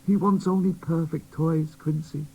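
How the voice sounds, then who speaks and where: Man doing a gentle sad old toymaker. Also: a little high, steady tone, measured pace, vocal fry, slightly rough, voice pushed up, heavily acted, poor audio. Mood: sorrowful. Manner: soft, gentle and sorrowful.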